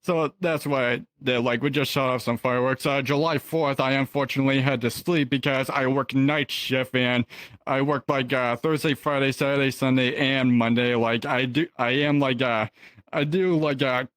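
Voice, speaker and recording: A man's voice: mid-range voice, steady tone, moderate pace, fairly bright tone, dry recording. A young man chatting casually as a podcast guest, rambling with lots of fillers and restarts. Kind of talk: casual chat.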